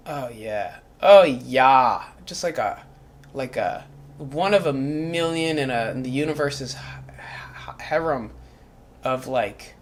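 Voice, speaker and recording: A performer voicing a short-tempered, foul-mouthed cat who reads a spiritual book aloud, going from calm, measured reading to yelled, swearing rants. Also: mid-range voice. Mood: dreamy mumbling.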